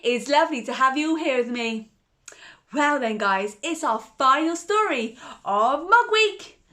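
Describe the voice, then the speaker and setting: A woman's voice: sits high, lively intonation, measured pace, sharp tone. A woman hosting a children's storytime and reading a bedtime story, exuberant and sing-song as she talks to young listeners, often repeating phrases. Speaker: storytime host.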